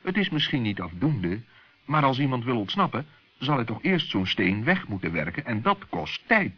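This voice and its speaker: Male children's narrator: husky, lively intonation, muffled vintage radio recording. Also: mid-range voice, normal speed. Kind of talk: expressive children's story narration.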